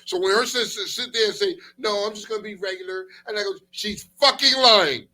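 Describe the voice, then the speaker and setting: A man co-hosting a casual talk show with profane, slangy, teasing banter, animated and repeating himself for emphasis. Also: high-pitched, fairly quick.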